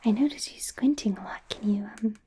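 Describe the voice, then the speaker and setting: A woman voicing a bumbling, eager werewolf doctor in training in a soft breathy whisper, stammering through an ASMR exam roleplay. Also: medium pitch, fairly quick, lightly acted. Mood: gentle, encouraging. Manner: hesitant, stammering, softly flustered. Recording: faint background noise, close-up whispering.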